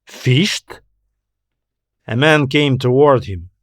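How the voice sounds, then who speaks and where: A man narrates a scripted audiobook story at a slow, measured pace. His voice sits at a middle pitch and sounds close and dry, free of room echo. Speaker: author and narrator.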